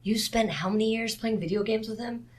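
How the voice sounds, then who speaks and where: A woman's voice: fairly high pitch, a little fast, breathy edge. Blunt, firm board game woman acted by a woman. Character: Cleo, firm, blunt female board gamer. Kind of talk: naturalistic staged play reading.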